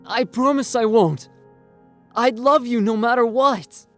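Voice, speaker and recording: A man's voice: very high-pitched, unhurried, a bit bright, faint music behind, pitched above the performer's own voice. A man voicing an earnest, lovestruck teenage boy who blurts out his words in a story reading. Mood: desperate pleading.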